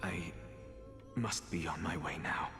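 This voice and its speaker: A man's voice: sits low, unhurried, over music. An actor playing an arrogant, intense male scholar who talks in a haughty, commanding way and sighs often. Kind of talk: video game voice acting.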